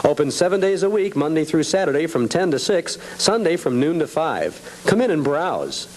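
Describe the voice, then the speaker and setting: A man's voice: a little high, very animated, normal speed, darkish tone, low-quality recording. A man reading a scripted local store commercial as its announcer.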